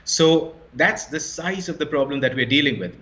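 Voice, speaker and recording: A man's voice: on the high side, expressive, moderate pace, bright, forceful tone. A man explaining his startup as an interview guest, fluent and confident, stressing key points. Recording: slightly dull lo-fi sound.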